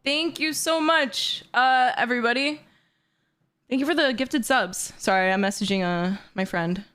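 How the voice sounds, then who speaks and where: A woman in her twenties chatting excitedly and bubbly on a livestream from her bedroom. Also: on the high side, measured pace, clean tone, faint music behind. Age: 20s. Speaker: streamer. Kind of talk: casual livestream chat.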